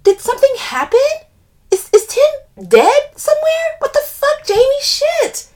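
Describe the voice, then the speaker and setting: Brash female best friend, voiced by a woman narrator: high, very lively, blunt, profane, theatrical audiobook read. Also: normal speed. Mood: panicked. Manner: sweary, exclamatory, stammers when flustered. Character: brash, foul-mouthed, dramatic woman friend, alarmed and blunt. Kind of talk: audiobook character dialogue.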